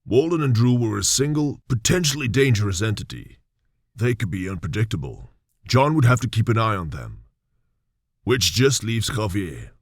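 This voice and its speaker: A man narrating a radio drama in a measured, expressive storytelling manner. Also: on the low side, no room sound, studio quality.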